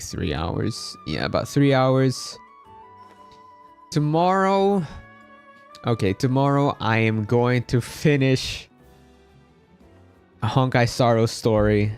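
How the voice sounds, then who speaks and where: A man's voice: medium pitch, a little mellow, clean tone. A man from the Philippines chatting casually as he hosts a game livestream. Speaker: game streamer.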